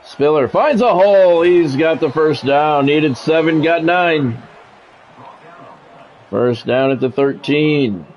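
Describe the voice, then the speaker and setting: A man's voice: a little high, slow pace, darkish tone, highs cut off, a little hiss or hum. A man doing casual sports game commentary, drifting between announcer-style play calls and conversational musing.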